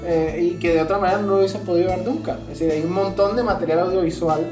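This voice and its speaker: A woman co-hosting a casual podcast, explaining things haltingly with repeated words. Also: fairly low pitch. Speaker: podcast co-host. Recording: distant echoey mic, music behind the voice. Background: plucked guitar music.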